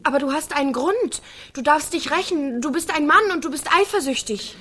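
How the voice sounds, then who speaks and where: A woman's voice: high-pitched, expressive, brisk, bright, forceful tone. A young woman playing a manipulative village teenage girl in a naturalistic radio drama, needy, coaxing and bent on revenge. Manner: insistent, coaxing.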